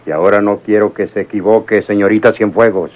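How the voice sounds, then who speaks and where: An actor playing a ruthless male crime boss who gives orders in a calm, menacing way, in an old radio drama. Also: fairly low pitch, fairly quick, phone-quality sound, lightly acted. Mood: cold menacing. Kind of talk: 1960s radio drama acting.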